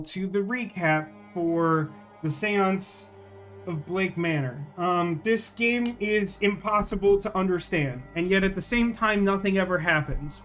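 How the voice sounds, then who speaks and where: A man's voice: high voice, a bit bright. A man hosting a gaming livestream, chatting casually to viewers and thinking aloud in a rambling way. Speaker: livestream host, gamer.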